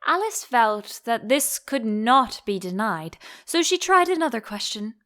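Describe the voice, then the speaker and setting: A woman reading a children's story as an audiobook narrator, in expressive scripted narration. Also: a little high, a little slow.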